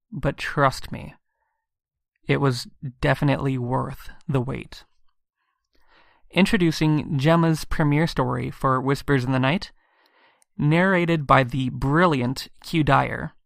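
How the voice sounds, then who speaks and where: A man with a gravelly, creaky edge introduces podcast material as its host. His medium-pitched voice is earnest and presentational, recorded close and dry. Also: fairly bright tone, no echo.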